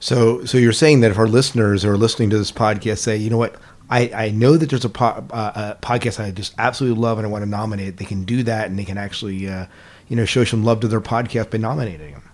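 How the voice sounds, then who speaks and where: A man's voice: fairly low pitch, steady tone, fast. A man chatting casually as a podcast host and interviewer, affirming and conversational.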